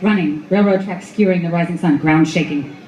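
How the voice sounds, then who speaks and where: A woman's voice: lowish voice, fairly quick, darkish tone, close to an everyday voice. A woman performing as the narrator of a noir radio play, telling it in clipped, vivid, dramatic phrases. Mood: stark, dramatic. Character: stark female noir storyteller. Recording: echoey live room with clear background noise.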